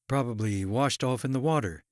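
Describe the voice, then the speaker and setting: A man voicing a gruff, weary male deputy, terse, blunt and muttering, in an audiobook. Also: mid-range voice, a little fast, no echo, clean studio sound, lightly acted. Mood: grim, muttering. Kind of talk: audiobook character dialogue.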